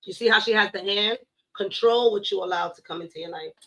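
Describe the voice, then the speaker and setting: A woman giving a casual tarot reading to her viewers, playful and blunt, cursing now and then and slowing down to recite affirmations. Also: mid-range voice, a bit bright, rough recording. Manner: playful, blunt, swears, addresses viewers as y'all. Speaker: tarot reader.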